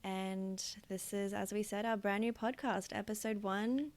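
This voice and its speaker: A woman's voice: medium pitch, fairly even intonation, measured pace. An Australian woman in her thirties, co-hosting a casual podcast in a chatty way with frequent fillers and easy laughter. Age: 30s. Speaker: podcast co-host. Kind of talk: casual chat.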